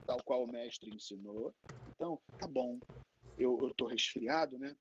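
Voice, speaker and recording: A man from Rio, a guest professor answering in a candid, conversational and halting way, often checking for agreement. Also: medium pitch. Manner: candid, halting, frequently seeking agreement with tags. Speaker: invited professor answering questions. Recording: dull lo-fi audio with faint noise.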